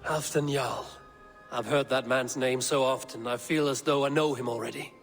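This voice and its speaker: An actor playing a confident, measured Viking warrior in a dramatic video game scene. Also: male voice, medium pitch, steady tone, faint music behind, lightly acted. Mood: assured. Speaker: game character in cutscenes.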